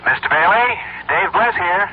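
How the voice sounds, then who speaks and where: An actor playing a smooth, insinuating schemer in a radio drama. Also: male voice, sits high, animated, normal speed. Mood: smooth, insistent. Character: smooth, insinuating man offering to return compromising photographs. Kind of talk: 1970s radio drama acting. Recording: muffled old radio recording, faint noise.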